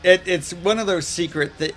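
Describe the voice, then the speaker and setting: A man's voice: high-pitched, normal speed, fairly bright tone. A man with a Midwestern American accent co-hosting a casual music podcast, talking in thoughtful, hesitant asides. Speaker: podcast co-host. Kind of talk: casual chat about music.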